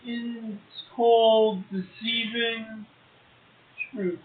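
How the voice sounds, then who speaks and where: A man's voice: very high voice, flat delivery, drawn-out words, a little hiss or hum. A man co-hosting a poetry show, reciting verse and adding brief casual remarks. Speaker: poetry show co-host and poet. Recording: roomy echo, narrow phone-like sound.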